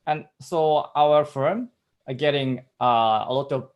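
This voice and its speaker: Halting Japanese-accented man, casual chat. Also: mid-range voice, highs cut off. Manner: halting, frequent fillers, laughs easily. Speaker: co-host, patent professional. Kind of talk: casual chat in a second language.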